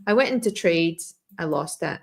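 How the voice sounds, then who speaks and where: Female live-stream trading host, slightly low voice going hoarse, casual and meandering delivery. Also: animated, normal speed. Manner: loose, restarting explanations. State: losing her voice, throat clearing.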